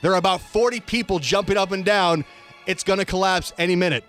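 A man's voice: high-pitched, fast talker, a bit bright. A man hosting a talk show, reading out quoted reports aloud in an emphatic, announcer-like way. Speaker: radio show host. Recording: music bed behind the voice. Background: music.

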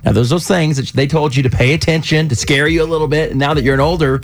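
A man's voice: a little high, quick pace. A man in his thirties co-hosting a morning radio show, bantering casually and jokingly with a co-host and callers. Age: 30s. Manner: animated, jokey, puts on quoted voices. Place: radio studio. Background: music bed.

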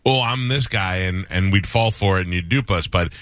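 A man's voice: deep voice, moderate pace, a bit bright, dry recording. A man hosting a radio call-in show, teasing callers with brash casual banter into a studio microphone.

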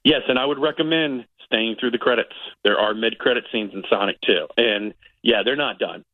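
A man's voice: mid-range voice, moderate pace, no room sound, phone-quality sound. A man giving a chatty, opinionated movie review as a guest critic on a casual radio show.

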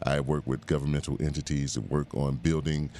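A middle-aged man speaks as an interview guest in an extremely low voice. His delivery is conversational and halting, with frequent hesitations. Slight noise sits behind him in the studio. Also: steady tone, a little mellow. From the Bahamas and Miami. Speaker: guest, civil rights branch president. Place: live radio studio.

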